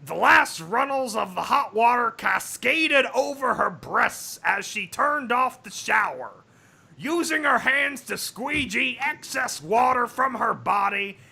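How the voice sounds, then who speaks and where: A man putting on a raspy, strained, grating comic narrator voice to read a story in a mock-dramatic way. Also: very high-pitched, sharp tone, exaggerated voice. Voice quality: raspy, gravelly. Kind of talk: mock-dramatic reading of fan fiction.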